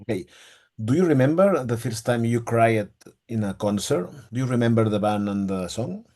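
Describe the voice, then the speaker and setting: A man's voice: mid-range voice, animated, moderate pace, a little mellow. A Spanish-speaking man interviewing for a music magazine in English, hesitant, with many fillers.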